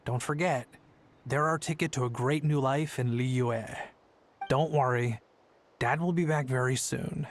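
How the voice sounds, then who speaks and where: An actor playing a worried but steady father in a video game, soothing and apologetic. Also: mid-range voice, a little slow, close to an everyday voice. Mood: reassuring.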